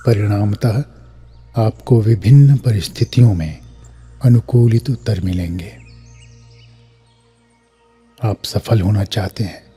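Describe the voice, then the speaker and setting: A man guiding a scripted meditation in a measured way, pausing between short phrases. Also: fairly low pitch, mellow, slightly rough. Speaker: meditation guide. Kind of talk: scripted guided meditation, instructional narration.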